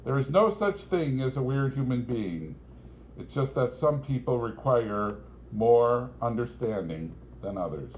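A free-spirited young woman as read by a male narrator in a storybook. She is serene, whimsical and lyrical, with a soft, mellow tone. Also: medium pitch, lively intonation, unhurried, slight background noise, lightly acted, low-quality recording.

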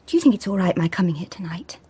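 A woman's voice: on the low side, expressive, fast, dark tone, slightly rough. An actress in a radio play as a nervous, unsure English wife, flustered and apologetic, hesitating as she talks. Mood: anxious. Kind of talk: radio drama acting.

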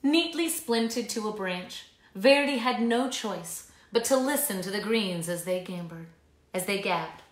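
A woman's voice: medium pitch, animated, unhurried. A woman in her thirties reading a children's story aloud in a dramatic, emphatic way in a living room. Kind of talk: expressive children's read-aloud. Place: small living room, indoors. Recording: echoey room sound.

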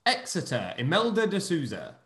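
Lively high-voiced male host on a call. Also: reverberant. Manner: brisk, announcing names in a lively sing-song. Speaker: host and producer. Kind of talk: reading cast introductions on a video call. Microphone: video call.

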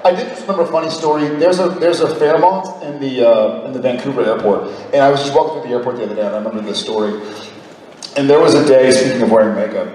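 A young man on a convention stage, telling a rambling, animated anecdote into a handheld mic, recorded from the audience. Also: medium pitch, quick pace. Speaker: convention panelist. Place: large convention hall with a crowd. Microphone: handheld mic, recorded by a far camera. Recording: echoey hall recorded from far off.

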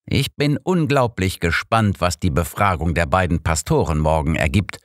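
A man's voice: deep voice, lively intonation, moderate pace, no echo, clean studio sound. A man voicing an old master detective in an audiobook, precise, formal and self-assured in his explanations. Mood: explaining, assured. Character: old master detective, analytical, sure of himself.

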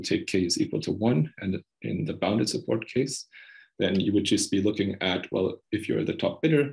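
A young man with a very deep voice and a lot of vocal fry presents technical research. He explains in a hesitant, halting way, as if walking colleagues through slides on a video call. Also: rough recording. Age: young adult. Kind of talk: technical conference talk over slides.

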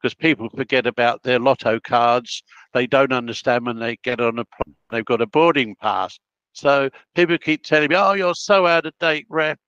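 An elderly man with an Australian accent talks in an unhurried, anecdotal way, often breaking off mid-sentence to restart. His voice sits at an ordinary pitch, and the recording is dry and slightly muffled. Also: a bit bright, clean tone, no echo. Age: 80s. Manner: rambling, anecdotal, self-interrupting. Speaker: guest, project planner. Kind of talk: casual interview storytelling.

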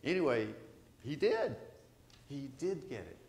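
An older man, a priest, preaching a conversational sermon to his congregation, animated and asking them questions. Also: mid-range voice, a little slow, gravelly. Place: large church sanctuary. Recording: echoey church sanctuary.

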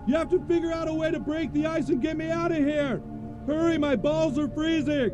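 A man's voice: extremely high pitch, fairly even intonation, fairly quick. A man voicing a frantic, greedy boy who babbles and stalls with fillers. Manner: babbling and hesitant, full of ums. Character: greedy, frantic boy hoarding toilet paper.

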